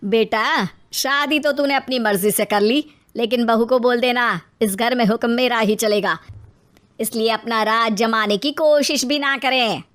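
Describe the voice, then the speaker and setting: A woman playing a domineering, sharp-tongued middle-aged mother-in-law in an acted moral story, scolding and taunting theatrically. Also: a little high, measured pace. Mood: bossy.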